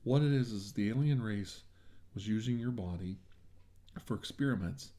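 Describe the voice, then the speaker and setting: A man's voice: on the low side, normal speed, warm tone. A man doing an ASMR roleplay as a medical examiner, talking in a reassuring, attentive bedside manner.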